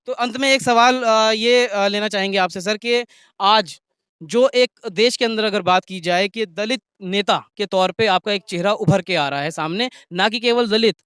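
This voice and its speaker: High-voiced brisk male news interviewer. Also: fairly bright tone, clean tone, dry recording. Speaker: news interviewer and channel host.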